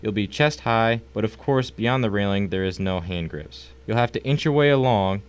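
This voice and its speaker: Guy doing a smug rich villain in a radio play, lowish voice, oily polite, toying with his victim. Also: smooth, close to an everyday voice. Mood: smug, genial. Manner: suave, mannered, drawn-out politeness. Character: smug, courteous wealthy crime boss, toying with his victim. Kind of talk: audio drama acting.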